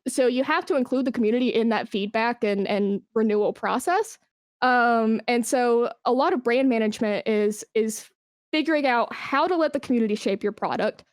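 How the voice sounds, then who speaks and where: A woman's voice: on the high side, dry recording, clean studio sound. A woman talking informally as an interview guest, explaining things with examples and emphasis. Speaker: esports brand manager, podcast guest. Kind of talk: conversational interview.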